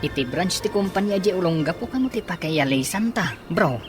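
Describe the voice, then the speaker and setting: A man's voice: high-pitched, animated, brisk, over music. An actor playing a supportive young male friend, consoling and upbeat, in Ilocano-accented radio drama style.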